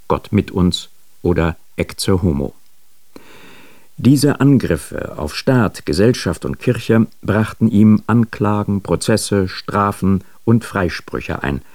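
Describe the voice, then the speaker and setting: A man with a low-pitched voice narrates a written biography for the radio. His phrasing is deliberate and emphatic, and the sound is very dry. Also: a little mellow, dry recording. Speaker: radio presenter of a biographical introduction.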